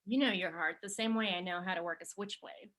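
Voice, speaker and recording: A woman's voice: on the low side, fairly even intonation, a little fast, fairly bright tone. A woman playing a blunt but encouraging hairstylist in a screenplay table read, casual and emphatic. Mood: wry.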